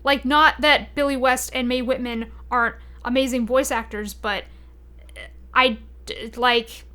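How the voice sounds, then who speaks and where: A woman's voice: on the high side, fairly even intonation, measured pace, sharp tone. A woman hosting a podcast in casual chat, informal and opinionated, thinking aloud as she goes. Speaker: podcast host.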